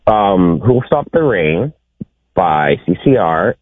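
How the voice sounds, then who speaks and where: A man calling in to a radio game, joking around and humming song snippets, with a raspy deep voice. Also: sing-song, takes it slowly. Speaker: caller. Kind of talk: casual radio call-in game. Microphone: phone. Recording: tinny phone line.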